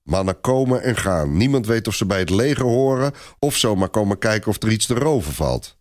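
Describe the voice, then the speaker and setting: A man narrating a dramatic historical story for a radio play in an expressive storytelling manner. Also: on the low side, fairly quick.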